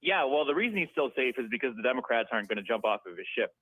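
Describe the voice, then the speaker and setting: A man's voice: medium pitch, sing-song, very fast, a bit bright, dry recording. A man calling in to a radio talk show, talking in a chatty, rambling way and laughing often. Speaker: caller. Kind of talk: phone-in conversation. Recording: tinny dry phone line.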